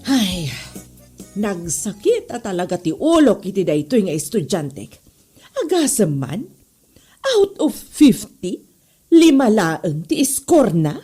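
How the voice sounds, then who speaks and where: A woman with an Ilocano accent playing an exasperated, high-strung spinster schoolteacher in a comedy drama, dramatic and scolding. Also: sing-song, slow, warm tone, husky, full character voice. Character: exasperated, high-blooded old-maid schoolteacher. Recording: music behind. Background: music.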